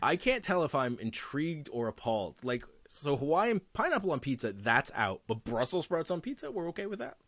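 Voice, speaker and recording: A man's voice: fairly high pitch, big pitch swings, a little fast, hardly any pauses, fairly bright tone, dry recording. A man co-hosting a casual podcast, rambling and joking, often laughing between his thoughts. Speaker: podcast co-host.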